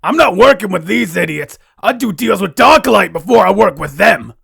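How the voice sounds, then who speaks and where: Loud, brash cartoon tough guy. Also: high-pitched, animated, normal speed, gravelly, breathy edge, no echo, studio quality, pitched above the performer's own voice, exaggerated voice. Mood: indignant, brash. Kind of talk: loud amateur animation voice acting.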